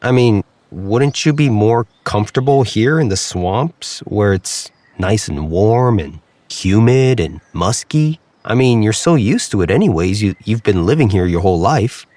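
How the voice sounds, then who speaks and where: A man's voice: fairly low pitch, lively intonation, measured pace, few pauses, a little mellow, no room sound. A man voicing a friendly, chatty frog in a storybook tale. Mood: questioning. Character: mellow, friendly swamp frog.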